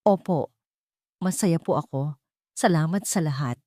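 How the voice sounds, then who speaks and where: A woman's voice: sits low, measured pace, mellow, dry recording. A meek young Filipina bride from the provinces, played by a woman narrator, speaking politely and deferentially. It is storybook drama in a dry recording. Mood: grateful.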